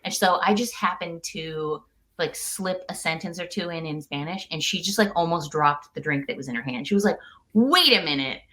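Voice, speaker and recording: A woman's voice: fairly low pitch, animated, normal speed, a bit bright. A woman in her thirties from the United States, a podcast guest talking casually from home over a call, chatty and laughing often.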